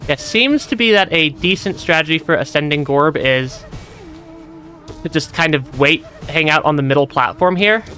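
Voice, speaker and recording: A man streaming a video game, chatting casually and laughing often, stammering and repeating himself, with his voice going hoarse and cutting out. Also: on the high side, normal speed, sharp tone. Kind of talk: casual gameplay commentary. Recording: music playing behind.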